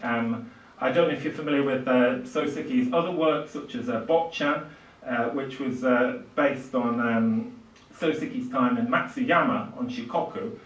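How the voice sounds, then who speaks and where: An older man giving a literary lecture in a halting, hesitant way, with frequent pauses. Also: medium pitch. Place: small indoor lecture room with seated audience. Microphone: far camera, speaker at a lectern. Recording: roomy, distant microphone in a hall.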